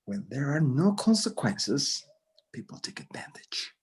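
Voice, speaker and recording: A man acts out an elderly rancher who sees himself as the law. He speaks gravely and deliberately in a mid-range voice with very expressive swings, slipping between English and Spanish in a screenplay read. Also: mid-range voice. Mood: solemn. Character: elderly, solemn, self-appointed vigilante Mexican rancher.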